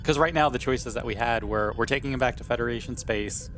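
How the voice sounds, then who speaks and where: A man's voice: medium pitch, fast talker, fairly bright tone, a little hiss or hum. A man in casual discussion as a player in a tabletop roleplaying group.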